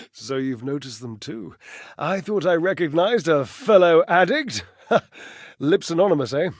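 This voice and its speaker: An actor playing a flamboyant, lovelorn household-object character in a video game, theatrical, flighty and verbose, with dramatic pauses. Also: male voice, fairly high pitch, expressive, no echo, studio quality. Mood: fond, confiding.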